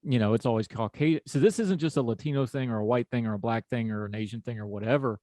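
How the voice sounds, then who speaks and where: A man's voice: mid-range voice, quick pace, warm tone, dry recording, clean studio sound. A man from West Virginia with an Appalachian accent, talking in a folksy, conversational way as a podcast host. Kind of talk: conversational interview.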